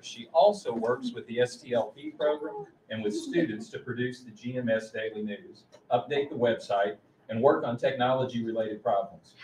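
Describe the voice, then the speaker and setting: Distant man presenting in a roomy meeting space. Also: mid-range voice. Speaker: school official presenting staff and student awards. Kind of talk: formal announcements, reading tributes aloud. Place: large meeting room, indoors. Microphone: distant room microphone. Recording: roomy, distant mic, faint noise.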